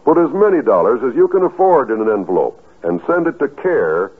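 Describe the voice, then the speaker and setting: A man working as a radio announcer in the formal 1950s style, reading earnestly with deliberate enunciation. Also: medium pitch, big pitch swings, husky. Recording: muffled, thin 1950s radio recording.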